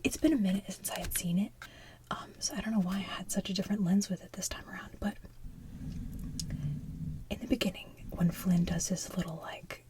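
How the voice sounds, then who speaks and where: A woman's voice: medium pitch, steady tone, fairly quick, pauses often. A woman whispering as an ASMR host, rambling casually and enthusiastically like a devoted fan. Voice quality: breathy whisper. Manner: rambling, gushing fan talk.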